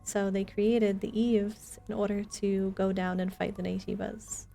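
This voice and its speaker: A woman's voice: mid-range voice, level tone, measured pace, creaky, mellow, faint music behind. A woman chatting informally and playfully with viewers while she streams a video game. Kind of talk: casual live gaming chat with viewers.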